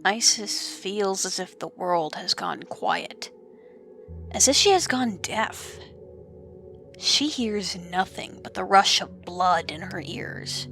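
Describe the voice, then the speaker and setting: Animated woman narrating an audio drama. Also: medium pitch.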